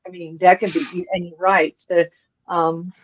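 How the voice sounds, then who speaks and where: A woman's voice: fairly low pitch, flat delivery, a little slow, breathy edge, muffled recording. A woman co-hosting an interview, telling stories in a chatty way with lots of fillers. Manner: chatty storytelling with many fillers. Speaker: co-host. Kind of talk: casual interview chat.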